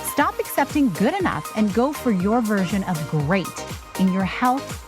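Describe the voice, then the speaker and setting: A woman's voice: medium pitch, big pitch swings, unhurried, music in the background. A woman hosting a podcast and working as a health coach, reading a polished, upbeat intro before the interview. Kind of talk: scripted intro then interview.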